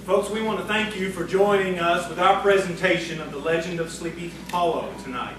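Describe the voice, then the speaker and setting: Male host for an audio theatre show, high-pitched, scripted storytelling, heard from a distance over clear music. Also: steady tone, a little fast. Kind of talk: presenter's scripted narration to an audience. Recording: very echoey, distant hall recording with music.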